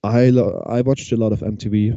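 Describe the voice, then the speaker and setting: A man's voice: on the low side, steady tone, fairly quick, dark tone, no room sound, dull audio. A man from Austria whose first language is German, chatting casually in English as an interview guest with an Austrian German accent and lots of restarts. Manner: chatty, frequent restarts and fillers. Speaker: guest musician.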